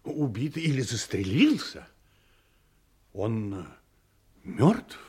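Slow, husky, uneasy ship's mate. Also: male voice, mid-range voice, very animated, darkish tone, exaggerated voice. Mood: grim, halting. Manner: hesitant, heavy, broken phrases. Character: halting, grim ship's mate, uneasy and fatalistic.

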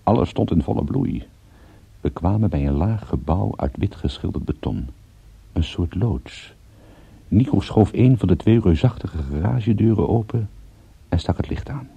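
An actor playing a dry, sardonic private detective who narrates and talks in a radio drama with wry understatement. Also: male voice, sits low, creaky, mellow, slightly rough, no room sound, heavily acted. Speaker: lead character and narrator.

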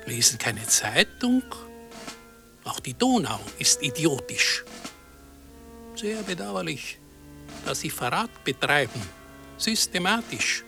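Creaky, imperious old fallen emperor. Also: male voice, on the high side, sing-song, slow, pauses often, bright, forceful tone, soft background music, heavily acted. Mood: indignant, scornful. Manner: clipped, commanding, telegraphic fragments. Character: deluded old male asylum inmate, imperious fallen emperor. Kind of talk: German radio drama acting.

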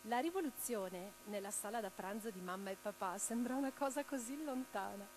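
A woman playing a passionate, idealistic revolutionary in a radio drama, declamatory and expressive. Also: mid-range voice, brisk, noisy background, natural voice. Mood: wistful, hushed. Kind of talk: theatrical radio drama monologue and dialogue. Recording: radio play with music underneath. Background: music.

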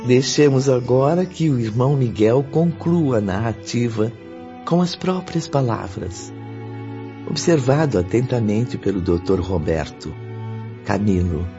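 An actor playing the solemn storyteller of a radio drama, narrating in a grave, deliberate, formal way. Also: male voice, medium pitch, expressive. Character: solemn male storyteller of a spiritist tale. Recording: dull audio under music.

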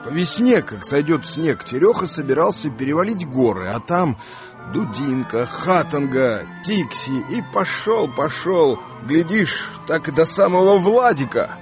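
A man's voice: fairly high pitch, animated, a little slow. A man reading dramatised literary narration for a radio play, with music behind him.

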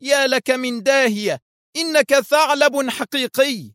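A cruel, cunning spymaster in a storybook reading, his voice pitched well above the reader's own, as a man puts him on. He drags out his threats slowly and heavily, recorded close and dry with no room sound. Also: a bit bright, dry recording. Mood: stunned. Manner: threatening, slow and emphatic. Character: vicious cunning male spymaster, menacing.

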